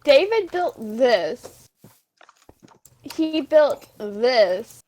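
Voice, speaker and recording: A woman's voice: high-pitched, very animated, drawn-out words, darkish tone, exaggerated voice. A young woman casually giving a tour of a game world, explaining things in a matter-of-fact way. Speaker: player showing others around a game world. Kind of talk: casual gaming chat, acted in a dramatic reading. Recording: noisy room with mouse clicks.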